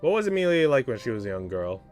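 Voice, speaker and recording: A man's voice: mid-range voice, sing-song, fast talker, a little mellow, soft background music, close to an everyday voice. A man voicing a shy, devoted young woman in a visual novel, with a tentative, questioning manner. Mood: curious.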